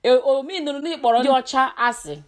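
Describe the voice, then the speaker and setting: Higher-voiced woman in casual conversation. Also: racing. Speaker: daughter interviewing her mother by phone. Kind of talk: casual phone chat mixing in English.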